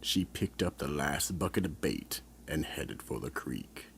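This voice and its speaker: Very deep, gravelly slow male narrator. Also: lively intonation, a bit bright, slight background noise. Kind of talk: scripted radio mystery narration.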